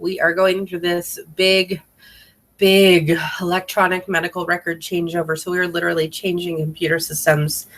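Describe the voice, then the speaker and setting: A woman's voice: lowish voice, little pitch movement, normal speed, fairly bright tone. Bubbly, slightly congested woman vlogger. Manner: bubbly, enthusiastic, rambling. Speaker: independent sales consultant hosting a team training video. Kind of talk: casual chatty video vlog.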